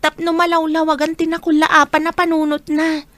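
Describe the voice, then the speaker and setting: A woman's voice: high-pitched, little pitch movement, moderate pace, fairly bright tone, no room sound. A woman playing an earnest, kindly young female student in a radio drama.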